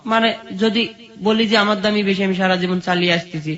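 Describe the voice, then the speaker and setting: A man's voice: high-pitched, fairly even intonation, brisk, fairly bright tone. A man with a Bangladeshi accent telling his own life story as an interview guest. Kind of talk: personal life-story narration. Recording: dull lo-fi sound.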